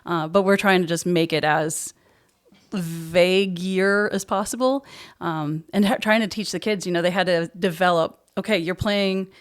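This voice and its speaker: Woman, American accent, slightly low voice, school drama director, conversational interview guest. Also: normal speed. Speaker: high school theater director, podcast guest. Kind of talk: casual interview answers about a production.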